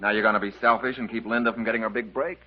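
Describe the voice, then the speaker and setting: An actor playing a brash, pushy show-business pal in a 1950s radio drama. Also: male voice, fairly low pitch, fairly even intonation, racing, close to an everyday voice. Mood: brash, goading. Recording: dull 1950s radio recording, music behind.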